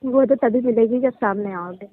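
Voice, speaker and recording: A woman's voice: a little high, normal speed, no echo. A young woman in a casual phone conversation, giving terse, guarded replies. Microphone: phone line. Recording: tinny, muffled phone line.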